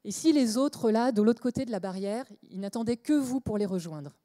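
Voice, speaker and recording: A woman's voice: fairly high pitch, expressive, normal speed, dark tone. A woman speaking at a conference, giving a short inspirational talk that builds to emphatic questions. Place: conference stage.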